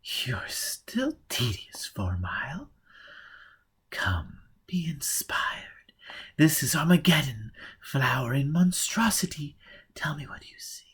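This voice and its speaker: A man reading a story aloud, voicing an aloof highborn lady in high, breathy whispers that turn dreamy and disdainful. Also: very animated, slow, fairly bright tone, heavily acted. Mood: dreamy, mocking. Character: aloof highborn blind lady, rapt and mocking.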